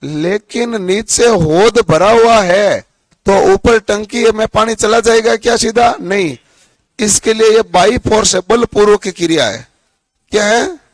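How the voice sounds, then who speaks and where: A hoarse man with a fairly high voice teaches a lesson. He keeps asking the students questions and then answers them himself. The sound is dry and close, with no room echo. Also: measured pace. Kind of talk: classroom lecture.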